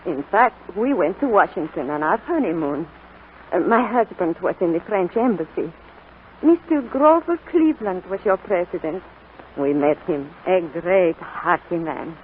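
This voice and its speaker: Gentle old grandmother, tinny vintage radio. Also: fairly low pitch, expressive, a little slow. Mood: warm, reminiscing. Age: older. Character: frail, devout, kindly old French grandmother of seventy-seven. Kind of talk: 1940s radio drama acting. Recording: noisy, tinny 1940s radio broadcast.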